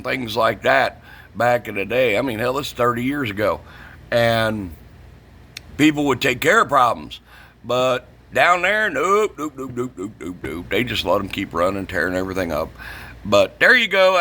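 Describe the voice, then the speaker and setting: A middle-aged American man speaks in a bright, forceful voice at an ordinary male pitch. He rants in a casual, opinionated way, blunt and profane, hammering his points by saying them again. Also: a little hiss or hum. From northern United States. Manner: blunt, profane, emphatic, repeats himself. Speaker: CB radio shop vlogger.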